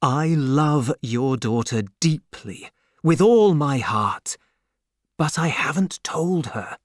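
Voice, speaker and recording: Brief: earnest young gentleman, read by a man, slow and sincere, lively intonation. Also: a little high. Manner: earnest, measured.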